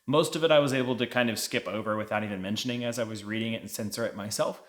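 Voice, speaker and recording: A man's voice: on the low side, racing. A man giving a casual, conversational book review, explaining things as a vlog co-host.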